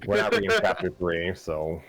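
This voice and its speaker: A man's voice: low-pitched, wildly swooping pitch, normal speed, vocal fry, a bit bright. A man chatting casually with fellow readers on a story stream. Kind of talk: casual chat.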